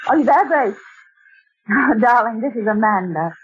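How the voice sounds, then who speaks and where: An actress playing a genteel woman recounting a ghostly night in 1940s radio drama style, theatrical and breathy. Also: a little high, lively intonation, normal speed. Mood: relieved. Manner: dramatic, exclaiming. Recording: tinny old radio broadcast.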